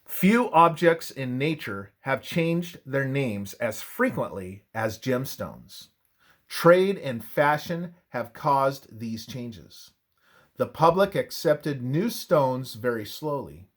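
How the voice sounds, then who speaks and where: Male narrator, mid-pitched, for scripted religious history. Unhurried, deliberate read with widely varied intonation.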